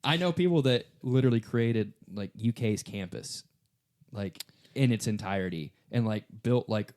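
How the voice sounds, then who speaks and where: A man's voice: fairly low pitch, normal speed, darkish tone. A man co-hosting a podcast, chatting casually in a rambling, informal way with some mild swearing. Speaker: podcast co-host guessing in a quiz. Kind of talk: casual chat.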